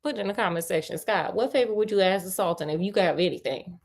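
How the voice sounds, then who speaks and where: A woman host reading a story aloud on a livestream, breaking in with playful, colloquial asides and expressive delivery. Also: medium pitch, fast talker.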